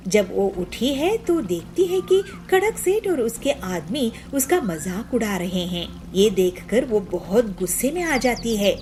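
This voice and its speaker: High-pitched woman narrating a children's story. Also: very animated, measured pace, hardly any pauses, a little mellow.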